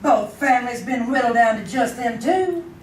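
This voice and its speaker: An actress plays a dour, weary old frontier woman in an old-time radio Western. She is blunt and folksy, with a rural Southern hill accent and a fairly high voice. The sound is roomy and somewhat distant. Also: animated, moderate pace, a little hiss or hum, lightly acted. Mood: grim, worried. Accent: American rural Southern hill country. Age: older. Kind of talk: 1950s Western radio drama acting.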